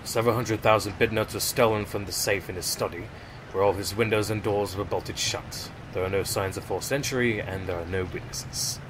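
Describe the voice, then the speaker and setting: Man playing a low-voiced, harried pony inspector. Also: steady tone, moderate pace, close to an everyday voice. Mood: reporting. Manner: exasperated but matter-of-fact. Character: harried, grumbling male pony police inspector. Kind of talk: audio drama acting. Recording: noisy, with heavy rain behind.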